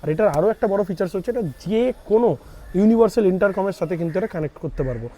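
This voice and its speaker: A man's voice: sits high, very fast, warm tone. A man giving a casual product review as a vlogger, explaining things straight to viewers and mixing in English words. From India. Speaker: vlogger, host.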